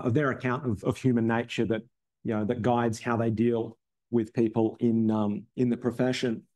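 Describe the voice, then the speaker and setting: A man presents at a university seminar at a mid-range pitch. He talks haltingly, stopping often on ums and restarting his sentences. His voice sounds slightly strained, as if he has lost it more than once recently. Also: darkish tone. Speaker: philosophy researcher presenting a seminar talk.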